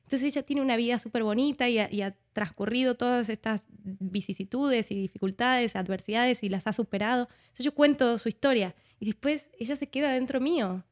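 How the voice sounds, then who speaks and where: Expressive woman with a higher voice. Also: brisk, few pauses. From Argentina. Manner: expressive, acts out imagined dialogue as she talks. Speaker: interview guest, actress. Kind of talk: reflective conversational interview.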